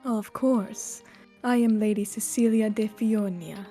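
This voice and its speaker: Woman as a mellow, composed noble lady. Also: medium pitch, unhurried, faint music behind, natural voice. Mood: composed, guarded. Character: composed, reserved noble lady at vampire soiree. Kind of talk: Minecraft roleplay acting.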